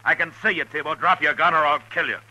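An actor playing a stern, steady frontier marshal in a 1950s radio western, terse and authoritative. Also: male voice, a little high, quick pace, low-quality recording. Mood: commanding. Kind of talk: 1950s radio western acting and first-person narration. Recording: vintage 1950s radio recording with music.

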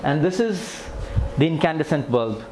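A man's voice: on the high side, lively intonation, moderate pace. A man in his thirties giving an unscripted instructional demonstration, explaining plainly step by step. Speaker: physiotherapy instructor demonstrating equipment. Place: indoor clinic treatment room with tiled floor. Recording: clear background noise, camera in clinic room.

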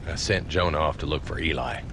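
An old American marshal in a Western game. His voice is deep and his delivery terse, stoic and weary, with noise clearly behind him. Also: a little fast. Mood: weary, concerned. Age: older. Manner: terse, understated. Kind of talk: video game cutscene acting. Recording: clear ambient background noise.

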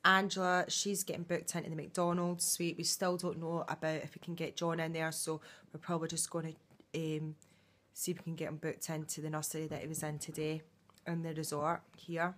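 A woman's voice: low voice, level tone, brisk, a bit bright. A woman, chatting casually into a phone held at arm's length for a holiday vlog, rambling with lots of fillers. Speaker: vlogger.

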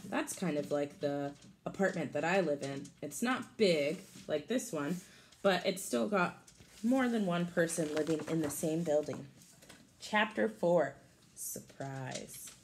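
Expressive low-pitched woman reading to children. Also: a little slow. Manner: expressive, explanatory, addressing young children. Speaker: teacher reading aloud. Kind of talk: children's picture book read-aloud with casual asides. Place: small room at home, indoors. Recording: home recording with clear background noise.

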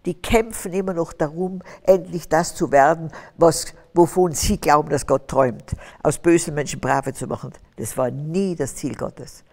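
A woman's voice: sits low, moderate pace, darkish tone. An older woman from Austria, telling her faith story as a guest on stage in a fervent, preaching way. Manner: fervent, preaching, rising to emphatic bursts. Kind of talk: testimony interview on stage. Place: stage with curtains, large hall. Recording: reverberant hall sound.

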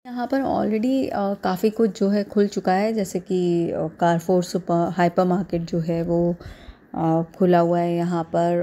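A woman in her thirties with an Indian accent, narrating a casual vlog in a halting, hesitant way with repeated filler phrases. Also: fairly low pitch, warm tone. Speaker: vlogger.

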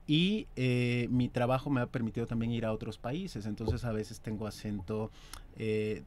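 A man in his thirties with a Mexican accent, an actor talking as a guest in a radio studio, measured and reflective. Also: medium pitch, fairly even intonation, a little mellow. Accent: Mexican Spanish. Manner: measured, reflective, speaks in long considered phrases. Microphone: desk mic on stand.

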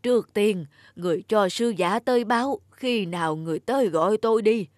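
A woman's voice: medium pitch, fairly quick, a little mellow, no room sound, studio quality, close to an everyday voice. A woman voicing a frail, anxious old grandfather in a folk-tale cartoon, with hesitant, fearful delivery. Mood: frightened pleading.

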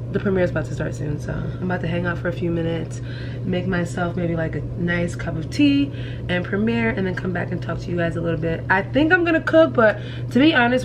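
Casual woman vlogger in her thirties, congested and nasal, talks loosely to viewers at home over some background hiss. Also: medium pitch. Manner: chatty, rambling, addresses viewers as guys. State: stuffy, nasal from allergies. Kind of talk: casual vlog chat to viewers. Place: small apartment kitchen, indoors.

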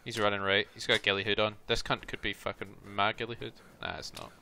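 A man's voice: lowish voice, steady tone, vocal fry, sharp tone, a little hiss or hum. A Scottish man chatting casually over game voice chat, calling out to his teammates. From Scotland. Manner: casual, calls out with quick bursts. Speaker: gamer.

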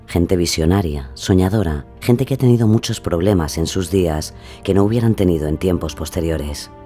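A man's voice: deep voice, normal speed, warm tone, faint music behind, lightly acted. A man playing a sober storyteller and confidant in a scripted audio drama. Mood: grave, reflective. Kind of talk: audio drama narration and companion lines, Spanish.

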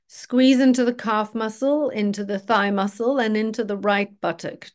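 A woman yoga teacher leading a guided relaxation meditation in a gently directive, instructional way. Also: fairly high pitch.

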